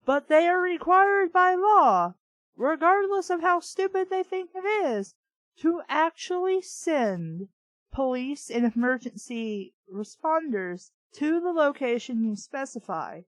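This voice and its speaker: A woman's voice: high voice, expressive, slow, dry recording. A woman reading fiction aloud and breaking in with sarcastic, irreverent, exasperated commentary. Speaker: book review host.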